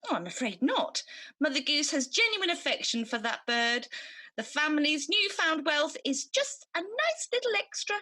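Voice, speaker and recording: A high, bright good fairy played by a woman from a radio pantomime. She is cheeky and smug, with a very animated, theatrical lilt. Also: normal speed, exaggerated voice. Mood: cheeky, pleased.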